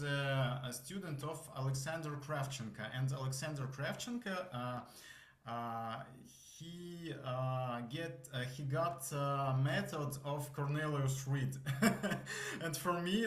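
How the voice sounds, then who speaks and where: A man in his thirties from Russia with a Russian accent, a voice student telling his story in a podcast interview, halting and searching for words. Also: on the high side. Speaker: voice student guest. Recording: echoey room.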